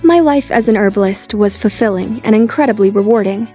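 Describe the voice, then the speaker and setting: Mellow young noblewoman narrating, acted by a woman. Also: medium pitch, a little fast, faint music behind, lightly acted. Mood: reflective. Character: young noblewoman reborn, recounting her past lives, composed. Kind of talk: anime fan dub narration.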